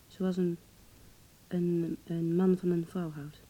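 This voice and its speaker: A woman's voice: lowish voice, flat delivery, slow pace, dark tone, rough recording. An actress playing a vulnerable, searching young woman with a blunt streak in a Dutch radio play, speaking hesitantly and with intimate emotion. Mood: probing.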